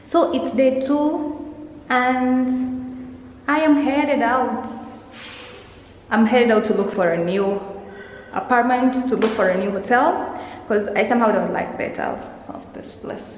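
A woman's voice: fairly high pitch, measured pace, a little mellow, reverberant, long reverb tail, low-quality recording. A young woman vlogging casually to a handheld camera held at arm's length, chatty and rambling, often restarting her phrases. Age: young adult. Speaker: travel vlogger. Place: hotel rooms, a car and outdoors. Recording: handheld camera audio with background noise.

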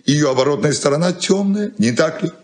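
An actor playing an eager, henpecked old uncle in a radio play, hesitant and rambling, a little plaintive. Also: male voice, a little high, expressive, a little fast, lightly acted. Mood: curious, eager. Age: older. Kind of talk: Soviet radio play acting.

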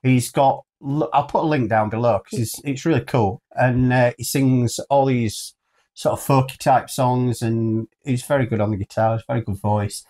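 A man's voice: mid-range voice, normal speed, mellow. A middle-aged man with a British accent, chatting casually as a show's co-host. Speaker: co-host of a hi-fi news show. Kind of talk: casual chat. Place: small wood-panelled room, indoors.

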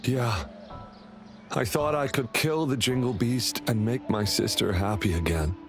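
A man plays a young game character, timid and full of remorse. He talks slowly at an ordinary male pitch, hesitant and apologetic. Music is clearly heard behind him. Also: lightly acted. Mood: ashamed, dejected. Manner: hesitant, apologetic, soft-hearted. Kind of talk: video game character dialogue.

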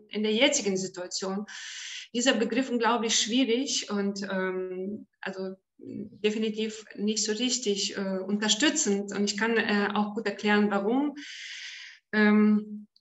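A woman's voice: medium pitch, a bit bright, roomy sound. A woman from Ukraine speaking as a guest on an online panel, hesitant with many fillers and restarts, heard over a video call.

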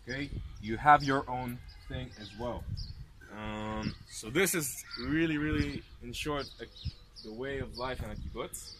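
Young man, tour guide, mid-pitched voice, outdoor noise behind. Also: a little slow. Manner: explaining with gestures, asking the group questions. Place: park lawn outdoors with a seated tour group. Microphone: handheld camera held close by the filmer. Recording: outdoor noise, birds and passing vehicles.

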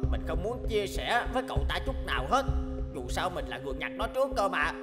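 A woman's voice: fairly low pitch, very animated, quick pace. A woman voicing a big, smug, selfish schoolboy in a cartoon, with a cocky, taunting manner. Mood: scheming. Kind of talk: animated cartoon dialogue. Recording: background music under dialogue.

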